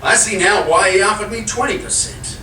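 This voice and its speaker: An actor playing an irritable, nervous husband in an old-time radio comedy, blustering and exasperated, recorded live on stage. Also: male voice, high voice, normal speed, bright, forceful tone. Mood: grumbling. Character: irritable nervous husband trying to sell haunted house. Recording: roomy live stage, distant mic, hiss.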